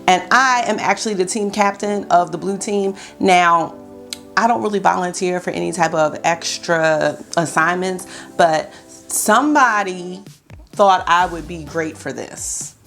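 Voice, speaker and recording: A woman's voice: lowish voice, measured pace, gravelly, a bit bright, faint music behind. A woman in her thirties chatting casually to camera as a beauty vlogger from her bedroom, upbeat and talkative, her voice a little scratchy this early in the morning. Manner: chatty, upbeat, addresses viewers as y'all. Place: bedroom at home, indoors.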